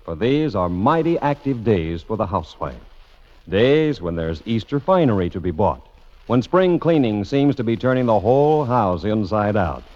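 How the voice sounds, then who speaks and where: A man's voice: fairly low pitch, darkish tone. A man reading scripted old-time radio announcements in a polished, formal presenter's style. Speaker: radio announcer and show host. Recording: dull vintage radio recording, faint music.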